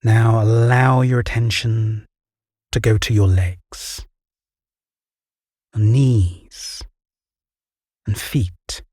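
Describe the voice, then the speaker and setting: A British man guiding a meditation in a calm, gentle, soothing voice with measured pauses and a soft, breathy tone. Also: medium pitch, dry recording, clean studio sound. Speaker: meditation guide. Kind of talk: guided meditation narration.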